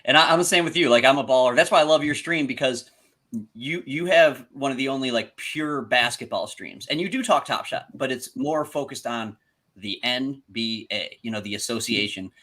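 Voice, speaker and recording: A man's voice: medium pitch, normal speed, bright, forceful tone. A young man hosting a live stream, chatting enthusiastically and praising his guest. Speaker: stream host. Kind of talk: casual live stream chat.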